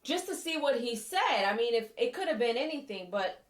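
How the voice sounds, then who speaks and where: A woman's voice: a little high, big pitch swings, fairly quick. A woman in a casual chat, talking in an easygoing way with many fillers as she thinks aloud. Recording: echoey, from off-mic.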